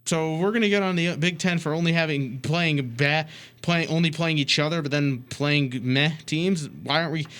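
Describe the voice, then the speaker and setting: A man's voice: on the high side, normal speed, a bit bright. A man co-hosting a sports talk show, casually debating and putting challenging questions to his partner.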